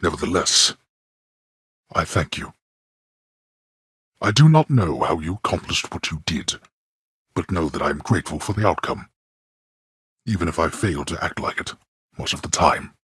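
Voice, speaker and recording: An imperious god of the dead for a video game. His voice is extremely low and thick with rasp, moving in big swings as he hands down haughty, old-fashioned rebukes. The sound is dry and close, every word weighted with disdain. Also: animated, moderate pace, long pauses, fairly bright tone, no room sound, full character voice. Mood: grave, subdued. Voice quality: gravelly, booming bass. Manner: formal, haughty, archaic diction, clipped disapproval. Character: stern, imperious, disdainful god of the underworld, a father. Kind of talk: theatrical video game voice acting.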